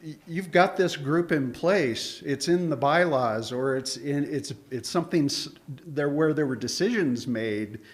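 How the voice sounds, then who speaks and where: A man's voice: fairly high pitch, unhurried, hardly any pauses. A man giving rambling public comment at a community town hall, with frequent restarts and fillers.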